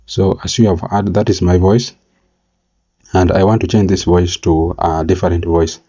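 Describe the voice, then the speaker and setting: A man giving a halting, step-by-step software tutorial as a voice-over. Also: low voice, level tone, breathy edge. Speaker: tutorial presenter. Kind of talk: screen-recorded software tutorial narration. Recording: slightly dull, lo-fi mic.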